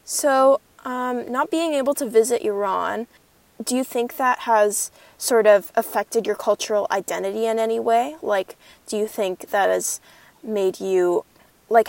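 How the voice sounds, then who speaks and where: A young woman interviewing a friend, asking questions hesitantly with many pauses and fillers. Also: medium pitch, gravelly, a little mellow, dry recording.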